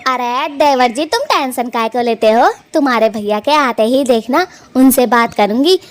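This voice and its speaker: A woman's voice: high voice, moderate pace, dry recording, voice pushed up, natural voice. A woman voicing a bossy, doting elder sister bird in a cartoon story, with a coaxing, folksy, emphatic manner. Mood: delighted, teasing.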